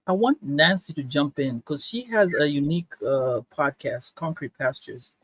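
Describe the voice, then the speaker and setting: This is a man with a slightly raised pitch who moderates a panel discussion. He speaks in a measured, hesitant way, and faint noise sits behind his voice. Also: poor audio.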